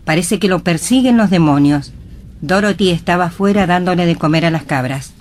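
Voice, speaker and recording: A woman's voice: fairly low pitch, a little fast, a little mellow, a little hiss or hum. A woman reading scripted, dramatic narration for a radio play.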